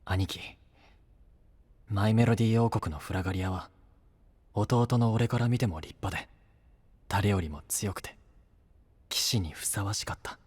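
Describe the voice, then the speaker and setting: An actor playing a brash, rough-talking young knight and younger brother in an anime-style voice drama. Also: male voice, on the low side, a little slow, long pauses, a little mellow, big-room sound. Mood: confessional, pained. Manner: blunt, rough masculine speech.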